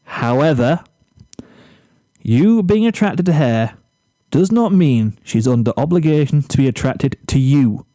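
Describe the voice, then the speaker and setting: Guy doing a fed up teen boy in a story, blunt n emphatic, dry mellow sound. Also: fairly high pitch, lively intonation, dry recording, low-quality recording. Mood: firm, reasoning. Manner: firm, emphatic, deliberate. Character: exasperated, blunt teenage boy lecturing a schoolmate. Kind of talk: storybook character dialogue.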